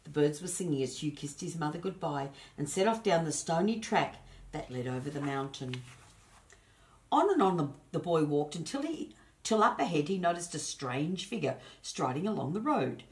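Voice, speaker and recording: A woman with a low-pitched voice reads a children's bedtime tale. She is the host and storyteller, expressive in her telling and chatty toward little listeners. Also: normal speed.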